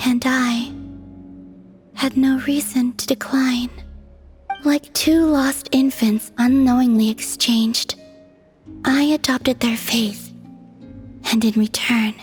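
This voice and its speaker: A woman voicing a serene, melancholy young moon goddess, breathy and whispery, speaking softly and poetically in a video game dub. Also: fairly high pitch, level tone, faint music behind. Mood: wistful. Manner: soft, slow and poetic.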